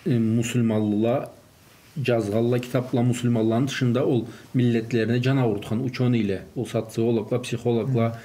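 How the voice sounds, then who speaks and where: A man's voice: mid-range voice, steady tone, moderate pace, mellow. A man who is a native Karachay-Balkar speaker talks in an informal discussion, mixing in Russian words and phrases. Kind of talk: informal religious discussion on raising children.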